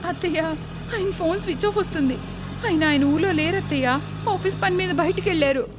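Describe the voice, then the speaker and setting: A woman voicing a gentle, eager-to-please young daughter-in-law in a cartoon moral story. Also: very high voice, a little fast, noisy background. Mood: worried.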